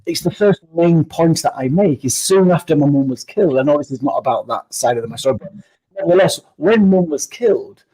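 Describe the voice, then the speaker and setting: Husky Yorkshire man in his fifties. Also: fairly high pitch, lively intonation, fairly quick, warm tone, poor audio. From Yorkshire, England. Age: 50s. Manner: down-to-earth, reflective. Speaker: keynote speaker, guest. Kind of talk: interview.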